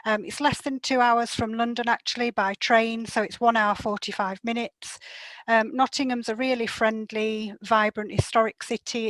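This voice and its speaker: Woman, higher pitch, monotone, hesitant presenter. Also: no room sound, low-quality recording. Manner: hesitant, many "um" fillers. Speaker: university representative. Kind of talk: online presentation.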